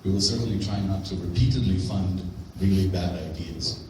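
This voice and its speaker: A man presenting on grants at a conference in a hotel conference room, speaking in a clear, explanatory and emphatic way. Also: low voice, fairly even intonation, normal speed, a little mellow, a little hiss or hum. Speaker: grant program officer. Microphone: handheld mic, far camera. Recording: very echoey room from far off.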